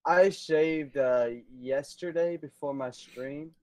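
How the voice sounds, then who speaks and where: A man's voice: on the high side, slow pace, darkish tone. A man in his late twenties co-hosting a podcast, chatting in a rambling, laid-back way with many ums from a home streaming room. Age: 20s. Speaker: podcast co-host. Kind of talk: casual chat.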